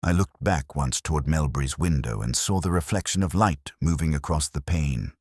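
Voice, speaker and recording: A man narrating a literary detective drama in the first person, measured, brooding and reflective, as a detective recounting a case. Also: a bass voice, husky, no echo, studio quality.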